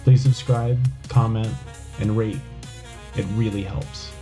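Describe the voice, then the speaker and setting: Mellow-voiced man hosting a podcast. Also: fairly low pitch, steady tone, takes it slowly, faint music behind. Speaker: podcast host. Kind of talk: informal podcast announcements and outtakes.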